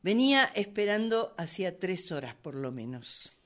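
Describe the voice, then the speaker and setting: A woman acting an indignant, hot-tempered woman, swooping pitch. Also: sing-song, normal speed, a bit bright. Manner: agitated, repeats words in bursts. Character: indignant, hot-tempered woman pleading excuses for her outbursts. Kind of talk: radio drama workshop acting.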